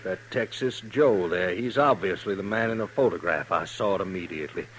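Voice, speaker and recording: An actor playing a brisk, officious lawman from back East in a 1950s radio western, speaking formally and with self-assurance. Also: sits low, natural voice. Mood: brisk, satisfied. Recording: hissy 1950s radio broadcast recording.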